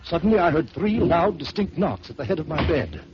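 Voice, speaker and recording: This is a man narrating a ghost story on an old radio program, his voice sitting a bit high and swinging widely in pitch as he builds the suspense. He reads in a measured, dramatic manner, like a classic on-air storyteller. The sound is muffled and lo-fi, with steady hiss from the aging broadcast. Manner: measured, theatrical dramatic reading. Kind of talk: 1940s radio story reading.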